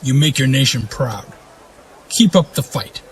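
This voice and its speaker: An actor playing a proud, weary duke and war leader in a fantasy audio drama, speaking in a commanding, regal way. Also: male voice, mid-range voice, animated, a little slow, a little hiss or hum, lightly acted, low-quality recording. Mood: proud, resolute.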